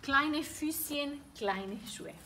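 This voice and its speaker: Middle-aged non-native woman, high unhurried voice, hesitant tour guide, noisy outdoor phone clip. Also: animated, bright, forceful tone. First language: not German. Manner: halting, many fillers and self-corrections, giggles. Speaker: school director giving a tour. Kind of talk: improvised walking presentation. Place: outdoors on a school playground at dusk.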